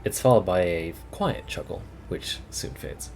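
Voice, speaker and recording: Guy with a low voice reading a game story out loud, first person, some music behind. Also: a little slow, creaky. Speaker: read-along narrator of a game story. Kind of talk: first-person story narration, read aloud with character voices.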